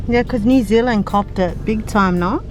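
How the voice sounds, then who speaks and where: A woman's voice: medium pitch, normal speed, music in the background. A woman from Argentina filming a casual travel vlog from a car, chatty and exclaiming, with frequent fillers. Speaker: vlogger. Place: inside a car.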